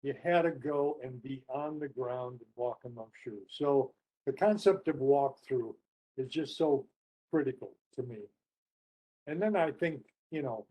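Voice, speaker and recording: A man speaking as a panelist, reflecting off the cuff and telling anecdotes in halting phrases with repeated words. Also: mid-range voice, warm tone, breathy edge, low-quality recording. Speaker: panelist, experienced change practitioner. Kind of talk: unscripted discussion, telling anecdotes.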